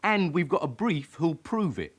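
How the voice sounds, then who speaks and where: A surly London tearaway of twenty-one, cocky and insolent. His voice sits fairly high and swings expressively as he argues back. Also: sing-song, moderate pace, slightly rough. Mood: cocky, dismissive. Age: young adult. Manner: defiant, insolent, argumentative. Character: cocky, surly 21-year-old London criminal. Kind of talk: radio drama acting.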